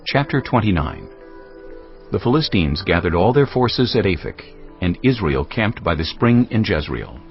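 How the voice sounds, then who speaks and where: A man's voice: deep voice, big pitch swings, normal speed. A man reading scripture as the narrator of a dramatized audio production, in a scripted storytelling style. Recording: muffled studio reading with faint music.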